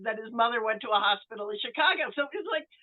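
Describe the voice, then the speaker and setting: Phone-like, thin-sounding woman on a podcast. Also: on the high side. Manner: analytical, halting with repeated words. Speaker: podcast panelist. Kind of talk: conversational discussion. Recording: thin, phone-like band-limited connection.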